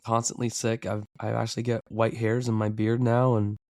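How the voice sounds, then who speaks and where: A man's voice: on the low side, normal speed, dry recording, studio quality. Man hosting a podcast while losing his voice. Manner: relaxed, chatty, laughs easily. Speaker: podcast host. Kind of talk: casual podcast chat.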